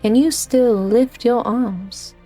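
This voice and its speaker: A woman's voice: on the high side, slow pace, mellow. A woman playing a tough, sardonic female director in a fan-dubbed game story, dry and commanding. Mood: wry, confrontational. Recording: faint background music.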